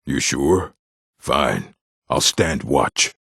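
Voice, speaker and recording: Deep, gravelly orc warrior. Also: male voice, expressive, very slow, a bit bright, dry recording, studio quality, heavily acted. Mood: curt, stoic. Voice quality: gravelly, husky. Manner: stern, blunt, weighty delivery. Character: proud, gruff male orc warrior of a stronghold clan. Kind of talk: fantasy video game character lines.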